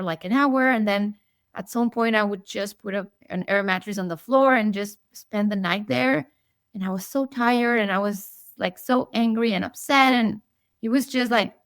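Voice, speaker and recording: A woman's voice: mid-range voice, fairly bright tone, dry recording, studio quality. A woman in her thirties from Mexico with a Mexican accent, chatting as a podcast guest in a friendly way, often restarting her sentences. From Monterrey, Mexico. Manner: friendly, with frequent restarts and light laughs. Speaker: guest psychologist and sleep coach. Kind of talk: casual interview chat.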